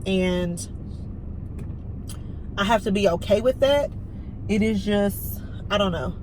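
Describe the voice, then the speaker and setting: A woman's voice: medium pitch, slow pace, low-quality recording. A thirty-year-old American woman chatting candidly and casually to her viewers, in a colloquial, confiding way. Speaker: lifestyle vlogger and nursing student. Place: inside a car. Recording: loud car and road noise. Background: vehicle and road noise.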